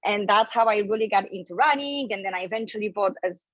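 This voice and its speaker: A woman in her thirties with a Polish accent, talking as a guest on a podcast. She tells her story in a chatty, open way, at a medium pitch. The sound is dry and close, with no room echo. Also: fast, fairly bright tone. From Poland. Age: 30s. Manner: chatty, candid, laughs between phrases. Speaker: guest, online fitness coach.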